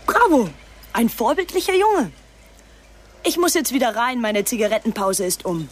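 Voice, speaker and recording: Woman playing brusque young shop girl, mocking, big pitch swings, radio play, slight background noise. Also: animated, moderate pace, lightly acted. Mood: curt, dismissive. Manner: curt, mocking. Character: brusque, scornful young female supermarket worker. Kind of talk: radio drama acting.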